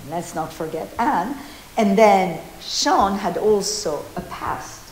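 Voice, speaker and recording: A woman in her fifties, from Argentina, lectures on art history in a lively, talky way, stumbling through restarts and fillers as she gestures. Her mid-pitched voice rings around an old meeting hall, caught from a distance with a soft hiss beneath. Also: slow. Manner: animated, gestures a lot, many restarts and fillers. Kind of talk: informal guided talk. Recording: roomy hall echo.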